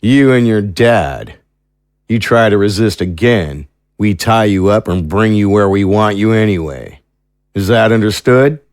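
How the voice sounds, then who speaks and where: An actor playing a gruff, curt, mocking prison guard in an audio drama, speaking in threats. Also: male voice, on the low side, expressive, measured pace, dry recording, studio quality. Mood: threatening.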